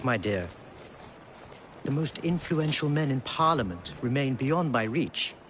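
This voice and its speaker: An actor playing a dignified, shrewd exiled Indian king in a video game, speaking in a formal, measured, courtly way. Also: mid-range voice, a little hiss or hum, lightly acted. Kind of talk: video game cutscene acting. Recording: loud music behind the voice.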